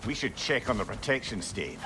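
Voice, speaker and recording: A man's voice: lowish voice, very animated, brisk, background noise. An actor playing a wry, chatty male know-it-all companion with a Scottish accent in a video game. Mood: alert. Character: severed talking head, witty know-it-all male companion. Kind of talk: video game character acting.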